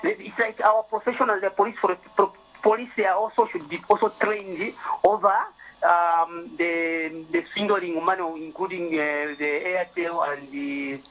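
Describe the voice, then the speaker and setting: A man's voice: sits high, steady tone, fairly bright tone, a little hiss or hum. Frustrated male caller on a phone line. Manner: halting, frustrated.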